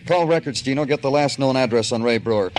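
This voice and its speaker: An actor playing a world-weary police lieutenant who narrates a 1940s radio crime drama in terse, deadpan phrases. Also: male voice, medium pitch, fast, a little hiss or hum, lightly acted, poor audio.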